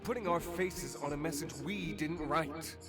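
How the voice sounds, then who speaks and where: A man's voice: a little high, normal speed, natural voice. An actor playing a jaded, sardonic young male superhero in a visual novel, with a dry, grumbling, sarcastic delivery. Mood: jaded, bitter. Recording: music playing loudly behind the voice.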